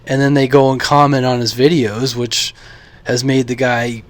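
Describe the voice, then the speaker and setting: A man from the United States talking casually and unscripted as a radio hobby vlogger, halting and thinking aloud. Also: medium pitch, flat delivery. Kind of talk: casual unscripted vlog talk.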